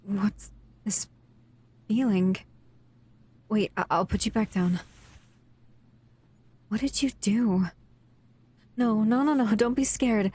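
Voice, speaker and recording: Woman playing slow, soft-spoken, possessive monster lady. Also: mid-range voice, husky. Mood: startled, anxious. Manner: soft, soothing, hesitant, often dropping into whispers. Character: powerful shadow-wielding female monster, possessive yet tenderly protective. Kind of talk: intimate scripted roleplay audio addressed to the listener.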